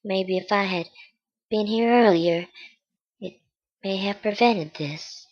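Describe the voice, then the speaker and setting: A woman playing a polite young lady in an old-fashioned radio mystery, with measured, deliberate delivery. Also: medium pitch, natural voice. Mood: subdued, helpful. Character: polite young woman visitor, secretly a murderer. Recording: dull, lo-fi amateur radio-drama recording.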